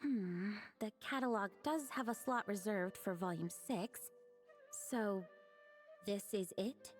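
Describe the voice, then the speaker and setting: A woman's voice: medium pitch, slow pace, faint music behind, close to an everyday voice. An actress playing a mild, inquisitive female book clerk in a video game. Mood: puzzled.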